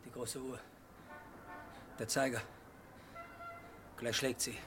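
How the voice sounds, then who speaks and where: An actor playing a dazed, despairing man who murmurs in halting fragments in a 1960s German radio drama. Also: mid-range voice, little pitch movement, music in the background, close to an everyday voice. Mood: dazed dread. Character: despairing young man on a ledge, dazed and fatalistic. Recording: old mono radio play, crowd noise behind.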